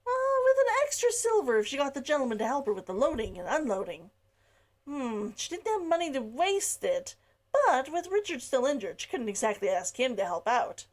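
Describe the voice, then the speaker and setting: A woman's voice: sits high, very animated, normal speed. A woman reading her novel aloud chapter by chapter, giggling between lines in the intro. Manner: giggly, laughs between sentences. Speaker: read-along narrator. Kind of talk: casual intro and story reading.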